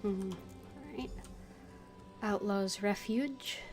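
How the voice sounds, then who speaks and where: Slow-paced woman streaming a game. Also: mid-range voice, a little mellow, soft background music. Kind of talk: casual game livestream, voicing her character's lines.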